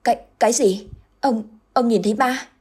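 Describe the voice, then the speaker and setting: A woman's voice: mid-range voice, fairly quick, dark tone, breathy edge, voice pushed up, close to an everyday voice. A woman voicing a tearful, frightened middle-aged village wife who pleads and stammers.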